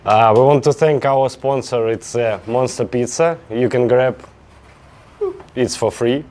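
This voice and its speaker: A man hosting an event who welcomes the audience and introduces the guest speaker. He speaks haltingly, pausing on "uh". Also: medium pitch, a little mellow. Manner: halting, with frequent "uh" pauses. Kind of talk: brief welcome announcement.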